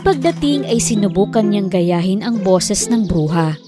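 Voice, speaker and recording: A woman narrating a scripted children's fairy tale as an expressive storyteller. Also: mid-range voice, measured pace, music in the background.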